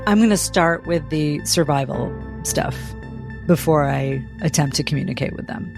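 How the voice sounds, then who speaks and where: A woman's voice: deep voice, normal speed, gravelly, music in the background. A woman who is a player in a tabletop roleplaying game, casually describing what her character does.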